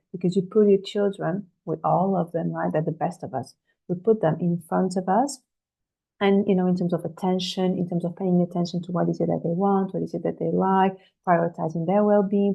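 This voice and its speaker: A woman's voice: on the low side, muffled recording. A woman career coach leading a live online workshop over a video call, talking through a cold with a slight cough, earnestly putting questions to her listeners. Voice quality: husky, gravelly voice. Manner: earnest, rhetorical questions to the audience.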